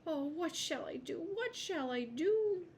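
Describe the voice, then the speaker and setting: A woman voicing a small, miserable lizard who pleads in a high, whiny voice. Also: animated, normal speed, a little hiss or hum. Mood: pleading.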